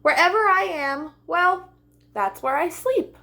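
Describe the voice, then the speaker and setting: A woman's voice: extremely high pitch, animated, slow pace, pushed and bright, pitched above the performer's own voice, heavily acted. A woman voicing a carefree, independent young male butterfly in a children's storybook, breezy and self-assured.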